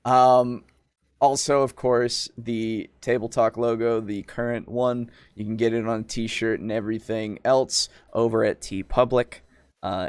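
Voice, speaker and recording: A man's voice: on the low side, dry recording. A man hosting a casual livestream chat in an informal, rambling way with some swearing. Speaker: show host.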